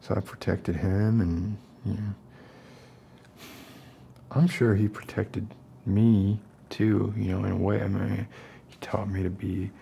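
An actor plays an unstable male survivalist who styles himself a gentle protector. His voice is low, creaky and mellow, and he rambles softly and haltingly in an ASMR roleplay. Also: little pitch movement, dull audio, a little hiss or hum. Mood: tender, earnest. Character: unstable, falsely gentle self-appointed protector, survivalist loner. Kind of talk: soft-spoken ASMR roleplay acting.

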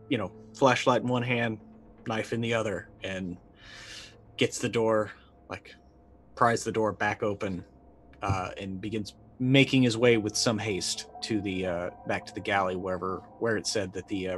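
Low-voiced middle-aged man playing a tabletop game. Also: fairly bright tone, a little hiss or hum. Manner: hesitant, muttering while thinking. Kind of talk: casual improvised roleplay talk. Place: indoor room, online video call. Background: faint music.

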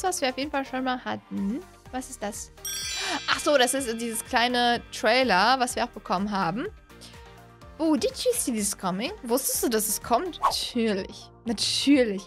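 A woman in her twenties hosting a gaming video with excited, casual reaction commentary, gushing and often repeating her words, recorded on a close studio microphone. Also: high voice. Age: 20s. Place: small indoor streaming room. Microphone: close studio microphone on a boom arm.

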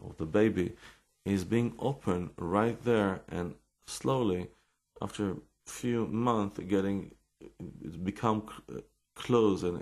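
Slow, gravelly Israeli man. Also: fairly low pitch, a little mellow. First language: Hebrew. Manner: halting, many restarts and fillers. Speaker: rabbi telling his life story. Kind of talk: personal storytelling and explanation.

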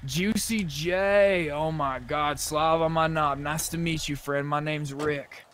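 Male gamer, slightly high pitch, casual in-character chat, light background noise. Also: steady tone, slow, fairly bright tone. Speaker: roleplay gamer.

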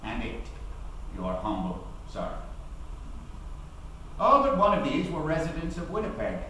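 A man's voice: fairly high pitch, sing-song. Man acting declamatory labour orator in echoing hall. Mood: earnest, declamatory. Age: middle-aged. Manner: formal, declamatory, deliberate, with emphatic pauses. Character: proud, eloquent male labour activist recalling his trial. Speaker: actor giving a dramatic reading. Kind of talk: theatrical courtroom-style oratory at a lectern. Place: large auditorium, at a lectern. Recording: very echoey hall, distant mic, faint noise.

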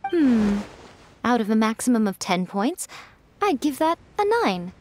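A woman's voice: fairly high pitch, sing-song, measured pace, darkish tone, a little hiss or hum. A woman voicing a poised, sly, teasing fox-spirit publisher in a video game, self-assured and playful. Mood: amused approval. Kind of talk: video game character acting.

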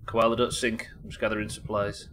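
A man's voice: lowish voice, level tone, brisk, noisy background. A British man in his thirties giving casual, rambling, sweary game commentary and chatting to viewers from a small room. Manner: sweary, rambling, self-questioning. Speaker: live game streamer. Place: small indoor streaming room.

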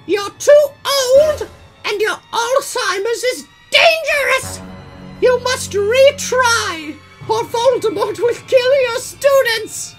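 A woman voicing an angry, scolding female school official who yells in a comedic fan fiction reading. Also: very high voice, expressive, over music, heavily acted. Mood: furious. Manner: yelling, drawn-out scolding.

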